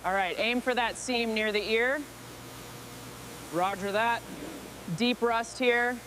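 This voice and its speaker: A woman leading a restoration crew, giving brisk, clipped instructions. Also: fairly high pitch, background noise. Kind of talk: scripted work commands.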